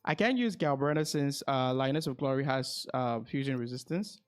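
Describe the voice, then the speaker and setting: A man's voice: mid-range voice, moderate pace, smooth, faint music behind. A man chatting casually on a game livestream, thinking aloud and repeating his phrases as he goes. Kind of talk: casual livestream chat while gaming.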